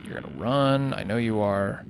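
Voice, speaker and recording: A tired man whose voice is starting to go hoarse, rambling casually and thinking aloud as he hosts a gaming livestream. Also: lowish voice, very animated, normal speed, a little hiss or hum, poor audio. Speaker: livestreamer hosting a charity gaming stream. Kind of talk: casual unscripted game-stream chat.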